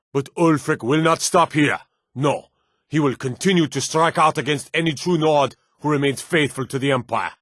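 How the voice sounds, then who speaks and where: A stern fantasy soldier, dryly recorded. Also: male voice, fairly high pitch, fairly bright tone, dry recording, studio quality. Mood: rousing, solemn. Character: stern, dutiful fantasy soldier and palace guard. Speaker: video game voice actor. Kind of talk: scripted fantasy game dialogue.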